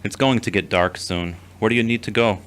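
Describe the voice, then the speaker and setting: A man acting in an audio drama as a gentle, earnest, emotionally fragile young man, speaking in a measured, reassuring way. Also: on the low side, natural voice.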